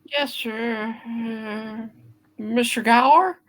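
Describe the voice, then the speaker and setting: A woman's voice: a little high, fairly even intonation, extremely slow, fairly bright tone, rough recording. A woman voicing an earnest, frightened young errand boy who stammers and pleads in an amateur radio play reading. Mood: nervous, dutiful.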